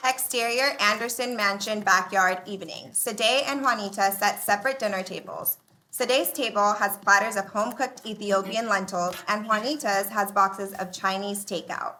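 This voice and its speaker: Woman reading sitcom stage directions, bright clear-cut delivery, bit of background noise. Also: medium pitch, steady tone, normal speed. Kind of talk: scripted narration of a sitcom table read.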